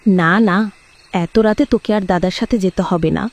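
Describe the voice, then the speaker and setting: A woman's voice: fairly low pitch, moderate pace, darkish tone, lightly acted. A woman voicing a firm, caring village mother of two sons in a horror cartoon. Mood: firm, motherly. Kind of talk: Bengali horror cartoon dub.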